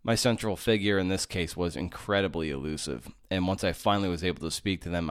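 Deep-voiced chatty podcast host. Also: male voice, dry recording, studio quality. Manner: chatty, with fillers and restarts. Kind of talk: casual interview podcast.

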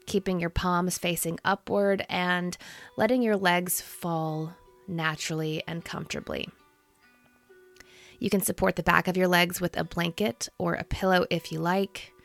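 Low-pitched, creaky-voiced woman guiding meditation. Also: little pitch movement, soft background music. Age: 30s. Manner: soothing, gentle, unhurried instruction. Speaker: meditation guide. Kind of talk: guided meditation.

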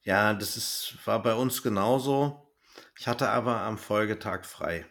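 A man's voice: on the low side, measured pace, fairly bright tone. A man, hosting a podcast in a casual interview chat. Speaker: podcast host.

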